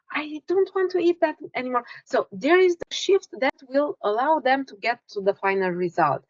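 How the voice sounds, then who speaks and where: Romanian woman, relaxed, dull call audio. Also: medium pitch, no echo. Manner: relaxed, restarts and self-corrections. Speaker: author and energy healer guest. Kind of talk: conversational interview.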